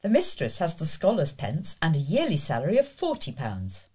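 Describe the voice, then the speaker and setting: A woman reads scripted local news as a volunteer reader. Her voice is fairly low and noticeably husky. The intonation moves up and down in a lively, animated way. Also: moderate pace.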